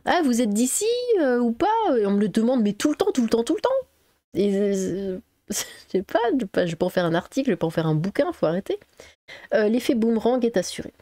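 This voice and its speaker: A woman's voice: medium pitch, lively intonation, quick pace, warm tone, dry recording. A woman from Alsace with a French accent, reading an article aloud as an online commentator and breaking in with sarcastic, exasperated asides. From Alsace, France.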